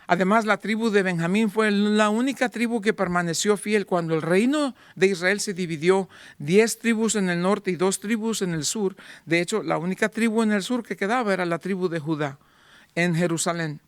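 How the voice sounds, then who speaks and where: Man, pastor, sermon, high voice, dry recording, rhetorical questions. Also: moderate pace, no echo. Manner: rhetorical questions, voicing doubting listeners.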